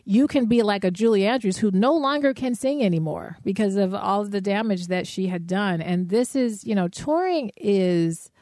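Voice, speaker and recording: A woman singer with a soft voice, talking casually and animatedly with many "you know" asides. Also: medium pitch, normal speed, few pauses, no room sound, studio quality. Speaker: singer and podcast co-host. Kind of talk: casual conversation.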